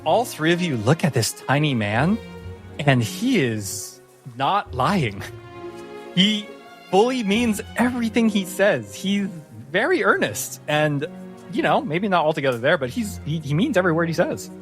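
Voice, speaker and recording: A man's voice: fairly high pitch, big pitch swings, measured pace. Man narrating a tabletop game over music. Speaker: game master.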